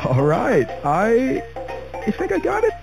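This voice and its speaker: A man playing a chatty, gravelly-voiced fellow in casual horror game dialogue. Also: very high-pitched, huge pitch swings, slow, over music, heavily acted. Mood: amused, curious. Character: chatty man trying out an odd game controller.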